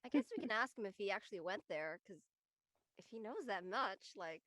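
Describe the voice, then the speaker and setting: A woman's voice: mid-range voice, lively intonation, brisk, a bit bright, no room sound, studio quality. A woman chatting casually in a panel discussion. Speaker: panelist in a post-show cast discussion. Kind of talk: casual chat.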